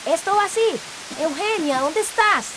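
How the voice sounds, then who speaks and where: A woman playing a high, urgent village helper. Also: animated, normal speed, noisy background. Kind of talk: radio drama acting.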